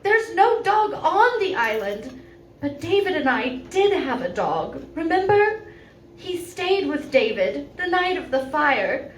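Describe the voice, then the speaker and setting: A woman's voice: high-pitched, big pitch swings, slow pace, full character voice. A woman playing a frightened, haunted widow, fretful and melodramatic in 1940s radio drama style. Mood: distressed, fearful. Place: live stage performance in a venue. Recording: roomy, distant mic, background noise.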